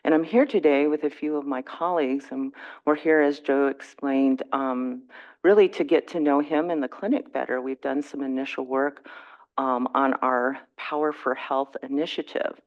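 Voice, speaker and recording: A woman's voice: very deep voice, steady tone, moderate pace. Composed woman greeting a council. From Navajo Nation. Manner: polite, composed, a few ums. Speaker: government relations advisor. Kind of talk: formal introduction to a council. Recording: dull lo-fi meeting audio.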